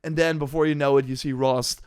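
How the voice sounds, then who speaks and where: A man giving casual, unscripted gaming commentary in a halting, rambling way, with animated emphasis and little acted-out asides. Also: medium pitch, clear, resonant tone. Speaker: gaming news streamer reacting to announcements and chat.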